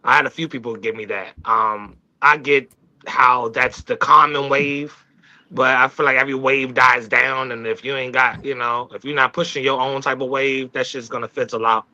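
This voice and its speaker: Male talk show regular, very sharp bright voice, slightly dull lo-fi audio, casual discussion with thoughtful, halting delivery. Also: medium pitch, steady tone. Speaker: talk show team member.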